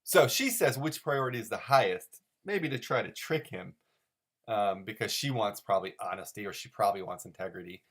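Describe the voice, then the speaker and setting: A man's voice: on the low side, expressive, brisk, a bit bright. Man rambling in mock-serious commentary. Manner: mock-serious, overanalytical, emphatic repetition. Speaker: host of a comic-strip commentary show. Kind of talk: rambling, unscripted solo commentary.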